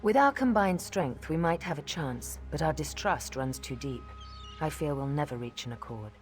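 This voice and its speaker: A young elven queen from a fantasy game, regal and earnest. Her pitch moves freely as she implores with pride, music faintly underneath. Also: animated, moderate pace. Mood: doubtful. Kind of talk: fantasy game dialogue acting.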